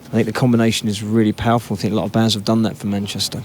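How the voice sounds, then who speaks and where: Low, flat-voiced laid-back man. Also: very fast, a little hiss or hum. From England. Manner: laid-back, even, reflective. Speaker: musician guest. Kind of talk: casual interview answers.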